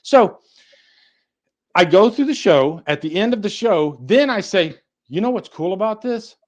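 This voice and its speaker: A man hosts a casual podcast with a slightly high voice. His pitch moves around a lot as he offers opinions in an animated, conversational way, voicing imagined reactions as he goes. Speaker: podcast host.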